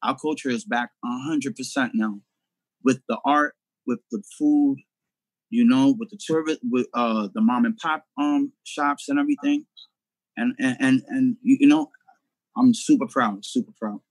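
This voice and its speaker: A middle-aged man from Puerto Rico talks earnestly and proudly, often with a "you know". His voice is mid-pitched and the sound is dry and close. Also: big pitch swings, normal speed, no room sound, poor audio. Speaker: guest filmmaker. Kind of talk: casual video call interview.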